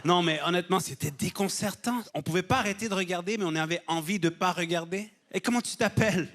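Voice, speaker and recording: A man's voice: sits high, fast talker. A man speaking as a talent show judge, with casual, irreverent, joking banter. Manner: irreverent, jokey. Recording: TV stage, loud music and audience.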